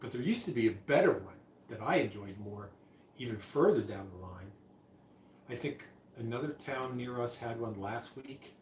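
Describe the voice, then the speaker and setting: A man's voice: medium pitch, lively intonation, normal speed, a little mellow, recorded from a distance, a little hiss or hum. Chatty man in an echoey room. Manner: rambling, chatty, many restarts. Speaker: livestream host mixing drinks. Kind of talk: casual live chat.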